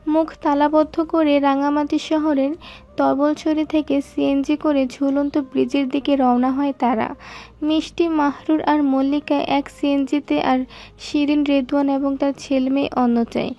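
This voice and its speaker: A woman reads a story as narrator in a very high, even voice. Her tone is soft-edged and mellow, and the recording is slightly dull. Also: unhurried.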